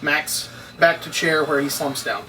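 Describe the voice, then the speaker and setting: A man's voice: fairly high pitch, a little slow, bright, forceful tone. A man reading stage directions as scripted narration at a table read. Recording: distant mic, noisy room ambience.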